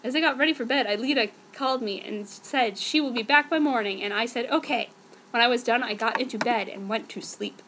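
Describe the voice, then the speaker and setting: A woman's voice: a little high, a little fast, few pauses, a bit bright, slight background noise, rough recording. A woman giving a dramatic, mock-earnest reading of fan fiction as a narrator. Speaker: reader.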